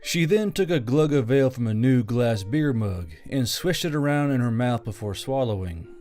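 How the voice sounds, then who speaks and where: A man's voice: medium pitch, lively intonation, moderate pace, darkish tone, soft background music. A man reading scripted fantasy fiction as a story narrator.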